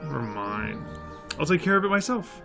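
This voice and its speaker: An actor as a capable but weary investigator in an audio drama. The delivery is measured, wry and articulate at a middling pitch, over clearly audible music. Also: sing-song. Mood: exasperated. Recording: background music under the voice.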